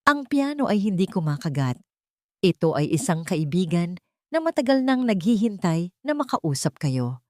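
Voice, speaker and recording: Woman voicing a tender young housemaid, soft and soothing. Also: fairly low pitch, very animated, normal speed, no echo. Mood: gentle reassurance. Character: tender, encouraging young housemaid comforting children.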